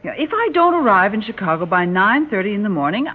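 A woman's voice: mid-range voice, sing-song, fast talker. An actress playing an anxious, impatient woman traveler in 1960s radio drama style. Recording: noisy, dull 1960s radio recording.

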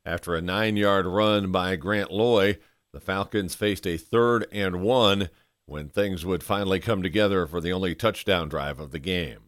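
A man's voice: sits low, measured pace, no echo, studio quality. A man hosting a sports show, delivering a scripted recap in an announcer's style. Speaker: sports podcast host and football play-by-play announcer. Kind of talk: scripted recap and live play-by-play commentary.

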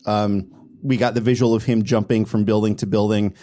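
A man's voice: fairly low pitch, flat delivery, a little fast, mellow. A man hosting a podcast in casual chat, enthusiastic, often stammering and restarting his sentences. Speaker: podcast host.

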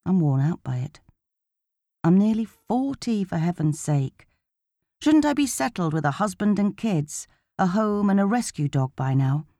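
A woman narrates a novel in the first person with a soft, dark-toned voice. Her pitch sits a little low, and she tells the story in a conversational, expressive way. The sound is very dry. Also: measured pace, dry recording. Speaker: audiobook narrator.

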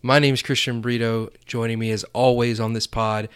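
A man's voice: mid-range voice, level tone, moderate pace, a bit bright, clear, resonant tone, no room sound. A man co-hosting a podcast, teasing his partner with dry humor. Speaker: podcast co-host. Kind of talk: casual chat.

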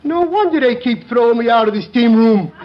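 High-pitched dopey dimwit, crackly old radio. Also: male voice, animated, fairly quick. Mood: dim, innocent. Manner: dopey, hesitant. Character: slow-witted, innocent, friendly male tavern regular. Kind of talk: 1950s radio comedy acting. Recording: very noisy muffled 1950s radio broadcast.

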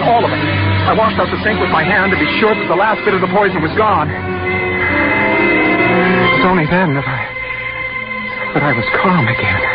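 A man's voice: a little high, close to an everyday voice. An actor playing an anxious, remorseful husband in a 1940s radio suspense drama, stammering and overwrought as he narrates. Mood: agitated, relieved. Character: anxious, remorseful husband confessing a murder plan. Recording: dull 1940s radio recording, loud music.

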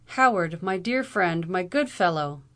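Woman playing a whimpering, terrified young gentleman. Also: sits high, takes it slowly, pitched above the performer's own voice, exaggerated voice. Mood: terrified pleading. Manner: whimpering, gasping, imploring.

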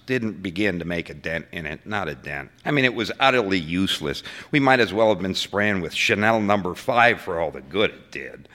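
A man's voice: lowish voice, bright, forceful tone. An actor playing a defensive, exasperated civil servant testifying to senators, folksy and emphatic, stammering as he justifies himself in a dramatic story reading. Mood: exasperated, appalled.

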